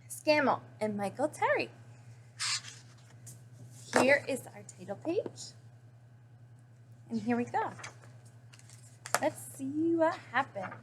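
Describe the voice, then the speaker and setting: Female storyteller, high voice, expressive picture-book read-aloud with dramatic emphasis and pauses. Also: slight background noise. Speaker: story reader.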